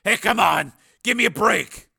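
A man's voice: on the high side, brisk, gravelly, pushed and bright, slightly rough, pitched above the performer's own voice, heavily acted. A man voicing a street-talking, easygoing civilian cameraman in an audiobook, with a casual, protesting manner.

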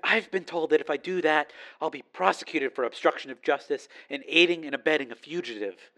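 Guy doing a woman news reporter in an audiobook, earnest, insistent, bright voice w a bit of creak. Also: fairly high pitch, brisk, voice pushed up. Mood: apologetic, frustrated. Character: determined female TV news reporter, earnest, loyal.

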